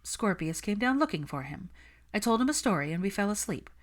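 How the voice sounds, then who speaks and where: A woman voicing a serious young witch healer, guarded and formal as she answers in measured phrases, in a story reading. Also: mid-range voice, sing-song, pitched above the performer's own voice, lightly acted. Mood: defensive.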